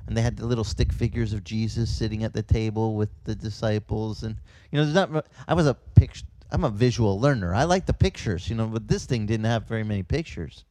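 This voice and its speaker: A man raised speaking Italian, preaching a sermon as pastor in a conversational, animated storytelling way, with quick asides and restarts. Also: on the low side, no room sound, poor audio. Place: outdoors.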